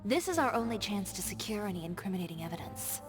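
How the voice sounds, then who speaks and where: A woman voicing a poised, shrewd fashion designer who talks calmly and confidently. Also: medium pitch, close to an everyday voice. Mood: composed. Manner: calm, measured, confident. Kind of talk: game dialogue. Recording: game audio with music behind.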